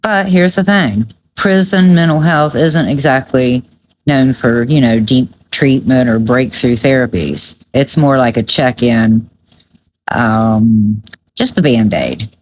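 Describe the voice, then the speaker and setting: Female true crime commentator, low register, blunt and emphatic, opinionated, with clear background noise. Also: slow, a little mellow, rough recording.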